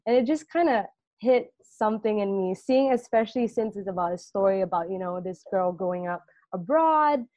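A young woman from Singapore, a student intern journalist, chatting casually in an interview, full of "like" and trailing off softly at the ends of phrases. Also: mid-range voice, animated, normal speed, no room sound. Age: young adult.

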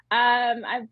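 Tiny-voiced giggly woman, slightly high. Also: phone-quality sound. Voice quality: small, tiny voice. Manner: giggly, hesitant with many fillers. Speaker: muralist guest. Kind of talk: casual interview chat.

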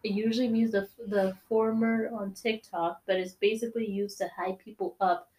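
A woman's voice: medium pitch, level tone, moderate pace, dark tone, rough recording. A woman in her twenties co-hosting a podcast, chatting casually with a giggly manner and plenty of slang and fillers. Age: 20s. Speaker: podcast co-host. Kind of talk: casual chat.

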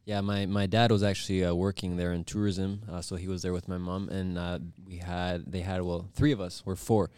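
A man's voice: sits low, flat delivery, a little fast, few pauses, darkish tone, smooth, no echo. A man in his twenties, from Bolivia, a podcast guest telling his story in an easygoing way, full of "you know" and "like". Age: 20s. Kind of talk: casual interview chat.

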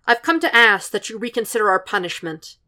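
A woman voicing an earnest young man who speaks with eager, rising, loud bursts. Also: a little high, big pitch swings, normal speed, pitched above the performer's own voice.

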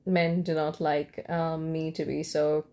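A young woman from Kolkata, nursing a cold, hosts her own podcast in a loose, rambling late-night monologue. Her voice sits low and carries a gravelly fry. Her tone is dark and mellow, and she often pauses on ums and restarts her sentences. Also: level tone. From India, Kolkata. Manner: rambling with frequent ums and restarts, light laughs. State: has a cold. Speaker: podcast host.

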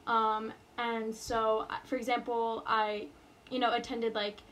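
A woman's voice: a little high, flat delivery, a little slow, fairly bright tone, a little hiss or hum, rough recording. A young woman in college from New York, answering interview questions casually with lots of fillers and restarts. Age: college student. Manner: hesitant, many fillers and restarts.

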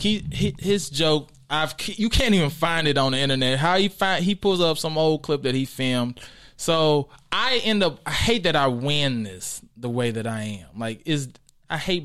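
An American man from the southern US telling stories casually as a guest comedian, in animated, slangy talk. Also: fairly high pitch, clear, resonant tone. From Arkansas and St. Louis, southern US. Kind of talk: casual storytelling chat.

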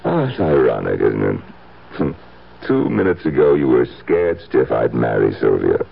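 An actor playing a cynical, lazy fortune-hunting husband in a 1970s radio drama, sardonic and hesitant, with dry asides. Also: male voice, a bass voice, sing-song, moderate pace, creaky, breathy edge, exaggerated voice. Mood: smug, taunting. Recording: tinny, hissy 1970s radio broadcast.

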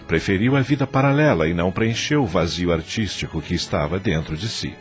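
A man's voice: low voice, lively intonation, brisk, faint music behind. A man with a Brazilian accent narrating a scripted radio drama in a solemn, dramatic storytelling manner.

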